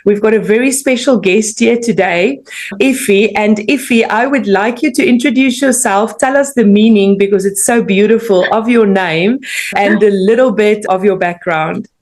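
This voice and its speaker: A woman's voice: medium pitch, normal speed, darkish tone. A woman hosting a business podcast, asking questions and cheering her guest on in an upbeat way. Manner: upbeat, encouraging. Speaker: podcast host. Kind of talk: podcast interview.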